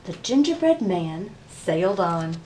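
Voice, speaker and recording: A woman's voice: on the low side, big pitch swings, a little slow. A woman hosting a library storytime, addressing young children with questions and prompts as she reads and shares rhymes. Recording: roomy echo, distant mic, faint noise.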